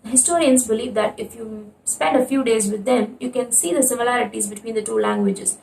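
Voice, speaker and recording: A woman talks through an explanation in a slightly high voice, earnest and proud, with "like" and "uh" fillers along the way. She sits some distance from the mic, in a room that rings with echo. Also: fairly even intonation, fairly quick, gravelly, a little mellow, a little hiss or hum. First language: Tamil. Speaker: video presenter. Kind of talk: explanatory monologue. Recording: echoey room, away from the mic.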